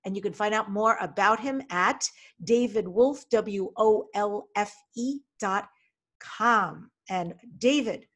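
A woman's voice: medium pitch, slow, fairly bright tone. A middle-aged woman hosting a podcast interview from a room at home, speaking into a desk microphone on a video call in an upbeat, enthusiastic way. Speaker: podcast host. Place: home room with folding screen. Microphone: studio desk mic in front, video call.

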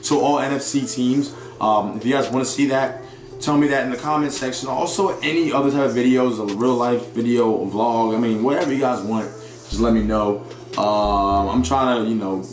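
A young man with a raspy, sore voice giving excited live commentary on a football video game and talking to his viewers. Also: mid-range voice, roomy sound. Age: young adult. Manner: excitable, hypes plays, chatty with viewers. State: hoarse, sore voice. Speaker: gaming YouTuber.

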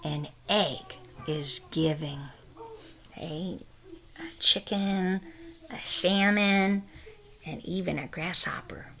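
A middle-aged woman reads a picture book aloud like a teacher. Her voice is bright, with a touch of creak, and she takes her time over each carefully stressed phrase. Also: fairly low pitch, slow pace, long pauses. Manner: careful, expressive, emphatic phrasing for young listeners. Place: small room at home, indoors.

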